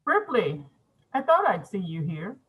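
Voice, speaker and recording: A woman's voice: medium pitch, sing-song, a little slow, fairly bright tone, slightly rough, full character voice, poor audio. A woman reading the part of a friendly magazine writer in her forties in a screenplay table read.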